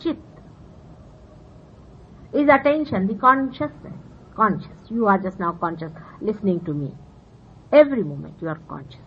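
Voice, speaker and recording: A woman from India whose first language is Marathi speaks with an Indian accent, explaining spiritual ideas earnestly to her listeners and pausing often with fillers. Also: medium pitch, lively intonation. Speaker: spiritual teacher. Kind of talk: spiritual talk to followers. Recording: dull old 1970s tape with faint hiss.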